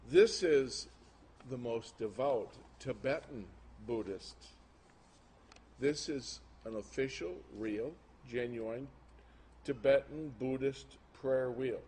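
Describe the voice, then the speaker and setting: A man's voice: mid-range voice, slow, a little mellow, husky. A man preaching informally to a congregation, colloquial and emphatic with incredulous asides, recorded live. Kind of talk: informal sermon with show-and-tell to a congregation. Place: live talk before an audience. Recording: noisy live recording with room ambience.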